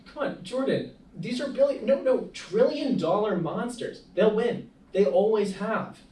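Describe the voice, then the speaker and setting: A young man reading the part of an earnest, hesitant college student at a film table read, speaking in a halting way. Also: sits high, animated, darkish tone. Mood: doubtful. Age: young adult. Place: small meeting room, indoors. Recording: echoey room, distant mic, clear noise.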